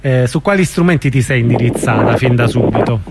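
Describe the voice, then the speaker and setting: A man with an Italian accent hosting an informal radio interview, phrasing his questions in a hesitant, halting way. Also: medium pitch, animated, loud music behind the voice.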